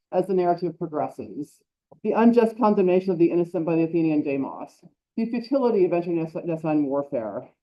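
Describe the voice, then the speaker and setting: A woman's voice: on the low side, lo-fi sound. Woman reading an academic paper. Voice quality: loud voice. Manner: self-correcting, quick asides. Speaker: conference speaker. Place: lecture hall.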